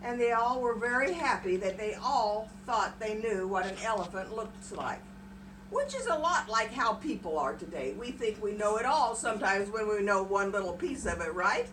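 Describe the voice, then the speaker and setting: A woman's voice: mid-range voice, lively intonation, moderate pace, fairly bright tone. A woman with a folksy, chatty, encouraging manner, telling folktales to children and prompting them along. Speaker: storyteller and host. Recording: noisy room, distant mic.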